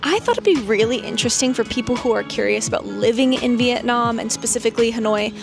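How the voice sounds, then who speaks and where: A woman with a fairly high voice opens a casual vlog, upbeat and chatty as she sets up a round of interviews. She sounds friendly and informal. Strong music plays underneath her. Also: fast talker, gravelly. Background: background music.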